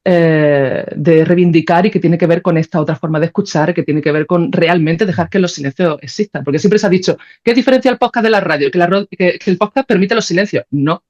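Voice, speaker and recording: A woman's voice: on the low side, a little mellow. Female podcast guest. Conversational, opinionated, stresses points by repetition; slightly dull lo-fi sound. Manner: emphatic, repeats phrases for stress.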